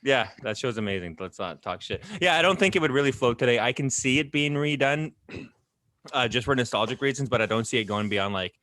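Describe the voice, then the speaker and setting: A man's voice: mid-range voice, fairly quick, no room sound. Guy hosting a chill podcast, voice bouncing all over, dry close mic. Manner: animated, swearing, laughs often. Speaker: podcast host. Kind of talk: casual group chat.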